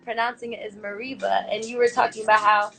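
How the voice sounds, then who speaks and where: A woman hosting a casual livestream chat, slangy and excitable, quick to laugh. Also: a little high, creaky, faint music behind, rough recording. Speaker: host.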